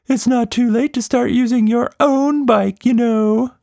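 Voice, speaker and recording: A man's voice: very high-pitched, steady tone, a little slow, creaky, a little mellow, no echo, studio quality, pitched above the performer's own voice, exaggerated voice. A man voicing a proud, goofy, touchy monster for a children's storybook, with a boastful manner. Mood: indignant. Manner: boastful, exclamatory.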